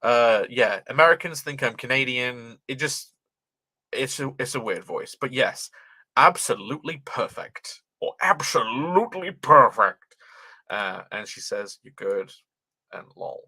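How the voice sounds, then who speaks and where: Bright, lively young man with a light British accent. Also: mid-range voice, measured pace, husky, no echo. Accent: light British, transatlantic-tinged. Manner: animated, swears freely, laughs often. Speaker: livestreamer. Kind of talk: casual live-stream chat, reading chat aloud.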